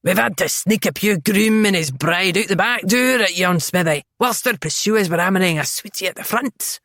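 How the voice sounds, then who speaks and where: A woman's voice: mid-range voice, normal speed, a bit bright, dry recording, natural voice. Woman performing a jolly Scottish innkeeper, thick burr, boisterous and eager, dry close sound.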